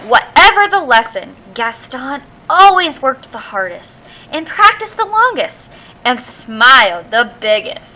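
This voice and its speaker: Animated, high-voiced woman reading a storybook. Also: a little hiss or hum, low-quality recording. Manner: theatrical, drawn-out emphasis on key words. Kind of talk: expressive children's storybook read-aloud.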